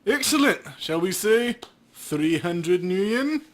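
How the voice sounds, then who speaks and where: Sleazy crooked game cop, played by a man, raised pitch, smug and wheedling. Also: expressive, takes it slowly. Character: sleazy grinning corrupt police officer angling for bribes.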